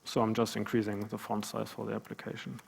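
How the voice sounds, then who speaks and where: A man's voice: lowish voice, completely flat delivery, fast, vocal fry, slight background noise. A man giving a live coding demo at a conference, talking hesitantly with many fillers and restarts. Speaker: conference speaker. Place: large conference room. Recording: long hall reverb.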